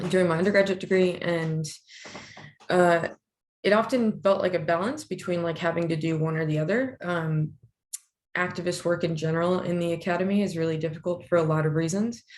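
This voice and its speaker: A young American woman from Texas presenting informally at an online webinar as a graduate student, thoughtful and hesitant with many pauses. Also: sits low, a little mellow, slight background noise. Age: young adult.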